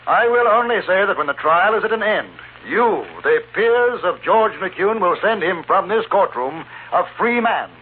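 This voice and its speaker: Courteous British barrister, lively intonation. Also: male voice, high-pitched, normal speed, few pauses. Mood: formal, earnest. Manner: formal, polite, articulate. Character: courteous, wordy gentleman barrister, narrating his Western adventure. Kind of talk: 1950s radio drama acting and narration. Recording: tinny 1950s radio recording, hiss.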